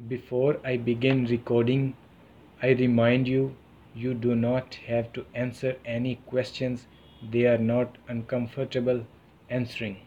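A man interviewing for a college oral history project, reading a consent form slowly and carefully and then asking questions. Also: mid-range voice, completely flat delivery, dark tone, lo-fi sound, a little hiss or hum. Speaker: student interviewer.

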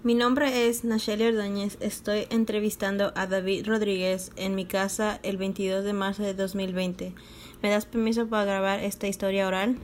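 Woman asking interview questions at home, mid pitch, polite, noticeable background hiss. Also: level tone, moderate pace. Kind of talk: oral history interview. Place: her home. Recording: clear background noise, clicks.

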